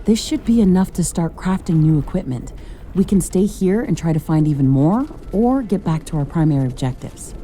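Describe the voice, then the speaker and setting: A woman's voice: lowish voice, lively intonation, normal speed, noisy background, close to an everyday voice. A woman playing a curious, determined scientist-commando who pilots a mech suit in a video game, explaining things purposefully. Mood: focused, businesslike.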